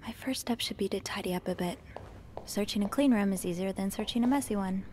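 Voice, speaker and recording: Kind curious maid detective girl done by a woman, video game voice, mid pitch, bit of room noise. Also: brisk, darkish tone, close to an everyday voice. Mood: curious, musing. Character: nosy, empathetic hotel maid, young woman sleuth.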